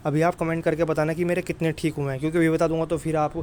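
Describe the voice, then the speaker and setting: A man's voice: on the high side, flat delivery, rapid-fire, darkish tone. Male exam tutor, informal and familiar, very level intonation, slightly noisy recording. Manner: repeatedly checks in with listeners in a familiar, brotherly way. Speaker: exam prep teacher. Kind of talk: informal exam coaching talk. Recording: clear background noise.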